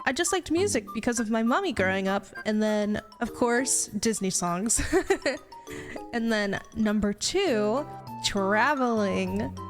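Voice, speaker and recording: A woman's voice: medium pitch, expressive, takes it slowly. A woman in her twenties with an American accent, a native Spanish speaker, chatting casually and playfully as a streamer introducing herself. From Ohio, United States. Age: 20s. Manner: playful, giggly, self-deprecating. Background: music.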